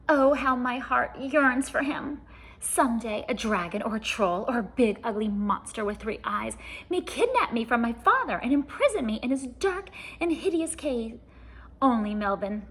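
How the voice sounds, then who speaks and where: Fairy-tale princess, woman reading: young, dreamy, gushing melodrama, high and very animated. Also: breathy edge, full character voice.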